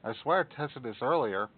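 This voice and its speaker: A man hosts a casual live stream from a home desk setup, muttering and swearing as he wrestles with his audio. His sound is narrow and phone-like, and his pitch sits in an ordinary middle range. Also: animated, normal speed, slightly rough. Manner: muttering, swears at technical trouble. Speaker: stream host. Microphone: desktop mic.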